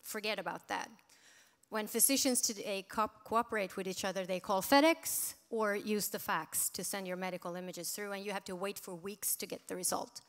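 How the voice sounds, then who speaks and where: Punchy woman CEO pitching on stage. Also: normal speed, a bit bright. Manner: punchy, persuasive. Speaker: panelist, CEO. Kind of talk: startup pitch on a panel. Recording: echoey conference hall.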